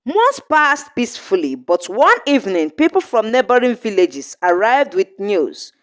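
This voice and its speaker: A woman narrating a scripted folktale in short, measured phrases with an earnest storybook delivery. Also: fairly high pitch, very animated.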